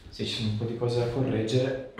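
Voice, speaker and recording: A man's voice: mid-range voice, moderate pace, a little mellow. A man in his thirties speaking informally as a chiropractor, guiding a patient with questions and short, repeated commands. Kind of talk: hands-on patient consultation with questions and short instructions. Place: small treatment room indoors. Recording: very echoey small room, distant mic, faint noise.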